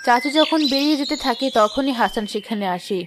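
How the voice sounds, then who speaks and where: A woman's voice: high voice, a little fast, music in the background. A woman giving scripted storybook narration for a cartoon tale.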